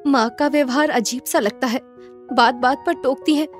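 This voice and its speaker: A woman voicing a gentle young daughter-in-law with an earnest, pleading manner, in a moral story. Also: sits high, moderate pace, soft background music, lightly acted. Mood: questioning.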